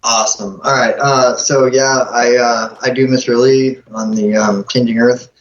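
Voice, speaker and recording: A man's voice: medium pitch, steady tone, moderate pace, a little hiss or hum. A man chatting casually as a guest performer, informal and loosely rambling. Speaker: guest voice performer and show host. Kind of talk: casual chat. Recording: muffled, roomy call audio.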